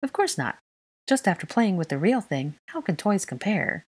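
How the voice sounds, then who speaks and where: A woman voicing a bashful young mother in a storybook, gentle and modest with a playful touch. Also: sits low, lively intonation, moderate pace, breathy edge, heavily acted. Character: soft, bashful young witch mother in her twenties.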